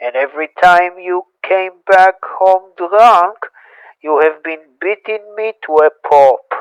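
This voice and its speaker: A man plays a young wife and mother, worn down and pleading, in a slow, high voice that speaks in drawn-out lines. Her words sound dry and close, with faint music beneath them. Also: smooth, dry recording, muffled recording. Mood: accusing. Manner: pleading, emotional, scolding. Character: young woman, worried, fed-up wife and mother.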